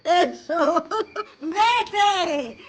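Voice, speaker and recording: A woman's voice: very high-pitched, lively intonation, very slow, slight background noise, exaggerated voice. An actress playing a drunk, bitter, abusive mother in a radio drama, jeering and slurring venomous insults. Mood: taunting, bitter. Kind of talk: Spanish-language radio drama acting.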